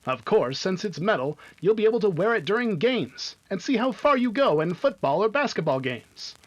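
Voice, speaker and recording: Children's radio pitchman, male actor, 1950s style: high voice, enthusiastic, clearly enunciated, dull lo-fi recording. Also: big pitch swings, moderate pace. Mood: eager, selling. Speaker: radio announcer.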